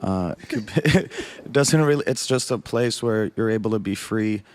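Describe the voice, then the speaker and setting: Low-voiced man, earnest panel talk. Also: lively intonation, normal speed, darkish tone. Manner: earnest, laughs while telling stories. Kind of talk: panel discussion.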